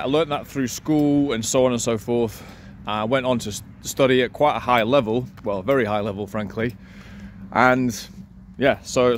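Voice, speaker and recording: A man's voice: mid-range voice, slight background noise. Playful man vlogging on a street. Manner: playful, flirtatious about languages, self-assured. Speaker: language teacher and vlogger. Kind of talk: casual vlog monologue. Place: outdoors in a city street, by a wall.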